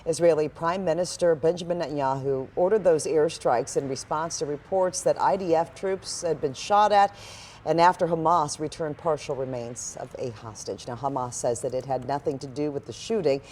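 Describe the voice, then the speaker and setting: A woman's voice: low-pitched, normal speed, a little mellow. A woman with an American accent reading scripted morning news as an anchor.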